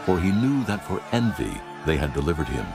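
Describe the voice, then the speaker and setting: A man narrating dramatized scripture in a solemn, measured manner. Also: deep voice, very animated. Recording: prominent music behind the voice.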